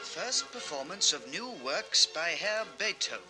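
An actor playing a curious, impressed townsman, declaiming crisply on a 1960s children's record. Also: a little high, sing-song, measured pace, over music. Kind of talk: 1960s children's record radio-play acting.

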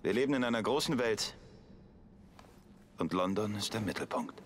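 An actor playing a cocky, wry young Victorian gang leader in a game cutscene. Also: male voice, on the low side, lively intonation, moderate pace, slight background noise, natural voice. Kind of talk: dramatic game cutscene acting.

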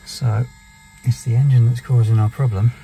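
A man's voice: medium pitch, fairly even intonation, moderate pace, gravelly, mellow. A man giving thoughtful, unscripted technical commentary as he works through a repair step by step, recorded on a hand-held device with some noise. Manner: thoughtful, explaining step by step while working. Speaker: aircraft maintenance engineer presenting a repair video.

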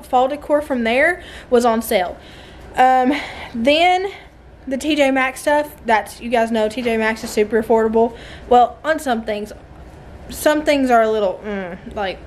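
A woman's voice: on the high side, slight background noise. A young woman with a Southern American accent, a homeschooling mom chatting casually and animatedly in her home vlog, filmed on a phone at arm's length. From southern United States. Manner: chatty, animated, laughs often. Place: car, then living room of a mobile home. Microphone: phone held at arm's length.

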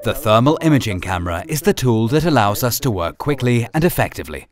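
A man reading a scripted training film narration in a measured, instructional manner over music. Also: medium pitch, big pitch swings.

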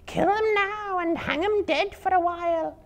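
A malicious storybook spider, screeches in an extremely high, hissing voice. It is hungry and sinister, stretching out its words with menace. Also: male voice, unhurried. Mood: gleeful, scheming. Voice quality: hissing, shrill.

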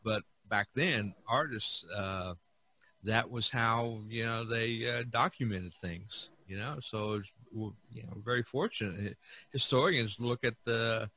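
A male podcast host talks casually about art in a bright, clear-cut voice with a very dry sound. He halts often and repeats words while he searches for the next one. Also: fairly low pitch, a little slow, no echo.

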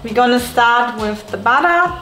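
A woman's voice: on the high side, animated, normal speed, pushed and bright, soft background music. A young woman co-hosting a home cooking show, cheerfully explaining each step of a recipe on a lapel mic in a home kitchen. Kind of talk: walking through a recipe step by step.

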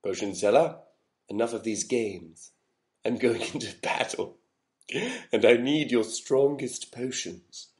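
A man's voice: mid-range voice, slow pace, darkish tone, close to an everyday voice. Man playing an indignant, melodramatic knight. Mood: insistent. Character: desperate, insistent knight demanding potions. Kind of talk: comic sketch voice acting.

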